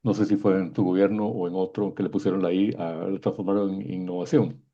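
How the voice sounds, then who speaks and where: A man in his sixties from Guatemala with a husky voice, commenting informally as an event moderator. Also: sits low, level tone, fairly quick. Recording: dull, muffled lo-fi connection.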